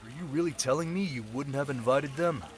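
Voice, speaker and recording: A man's voice: medium pitch, fairly quick, loud music behind the voice, close to an everyday voice. A man playing a sly, centuries-old thief turned security specialist, talking in a casual, teasing way in an audio roleplay drama. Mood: teasing, friendly. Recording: loud crowd chatter behind voice.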